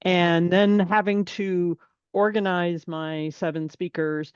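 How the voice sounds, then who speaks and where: A woman's voice: on the low side, steady tone, slow pace, smooth, dry recording. A woman taking part in a video-call Q&A, speaking bluntly and emphatically while telling stories from experience. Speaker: attendee asking during Q&A. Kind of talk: casual remarks in a Q&A. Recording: dull video call audio.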